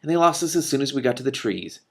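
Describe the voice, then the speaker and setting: A man's voice: mid-range voice, expressive, fast talker, gravelly. A man voicing a bewildered, hesitant prince in a storybook, stammering and flustered. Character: bewildered, hesitant prince and uncle, timid and rambling.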